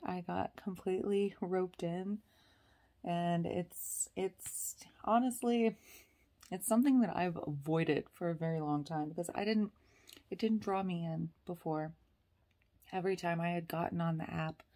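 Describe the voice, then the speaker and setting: A woman in her thirties chatting casually to her phone camera from bed, sometimes dropping to a whisper. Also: sits low. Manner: chatty, slips into soft whispers. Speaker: vlogger. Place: bedroom, lying on a bed indoors. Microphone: phone camera at arm's length.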